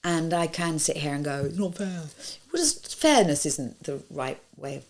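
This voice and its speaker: A woman with a low, gravelly voice tells her story with wry, candid humor. She speaks directly and animatedly, as if chatting with an old friend. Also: very animated, normal speed. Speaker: former panto cast member. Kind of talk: candid interview.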